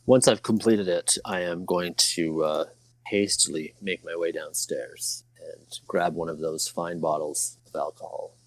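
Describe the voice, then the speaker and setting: A man with a low, creaky voice plays a tabletop game. He describes his moves casually and a little hesitantly, recorded dry and close. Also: fairly even intonation, a little slow, no room sound. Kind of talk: casual first-person roleplay chat.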